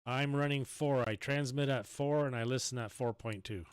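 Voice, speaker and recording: A man chatting casually as an amateur radio operator, talking in a halting, rambling way. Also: mid-range voice, fairly even intonation, clear, resonant tone, no room sound. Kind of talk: casual chat over ham radio.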